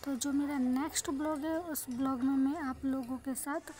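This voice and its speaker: A woman's voice: high-pitched, fairly even intonation, normal speed, darkish tone. A woman with an Indian accent giving a chatty, repetitive cooking demonstration to viewers as a home cook. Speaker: home cook and vlog host. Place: indoor home kitchen, beside frying pan. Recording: noisy kitchen phone recording.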